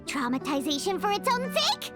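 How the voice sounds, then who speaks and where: A woman voicing a tiny, childlike, blunt and curious fairy companion in a video game, squeaky and sassy. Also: wildly swooping pitch, moderate pace, music in the background, exaggerated voice. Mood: questioning. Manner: refers to self in third person, sassy. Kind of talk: English video game voice acting.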